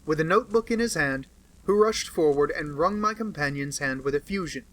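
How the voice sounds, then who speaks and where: A man reading a classic detective novel aloud as a narrator, with measured storytelling. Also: on the high side, animated, fairly bright tone. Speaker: audiobook reader.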